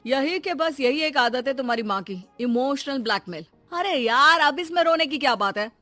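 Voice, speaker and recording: एक महिला हिंदी कहानी में बेसब्र, रूखे जवान बेटे का किरदार निभा रही है, झिड़कने और टालने वाले लहजे में।. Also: female voice, high voice, animated, moderate pace, pushed and bright, no room sound, faint music behind. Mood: exasperated, scolding. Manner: curt, scolding, exasperated. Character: grown son, impatient, dismissive, cold toward his mother. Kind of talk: Hindi moral story dramatisation.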